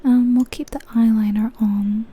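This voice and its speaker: A woman whispering breathily in an ASMR style, musing aloud in an unhurried, soft way as she comments on a game. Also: on the high side, steady tone, slightly rough. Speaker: ASMR video creator.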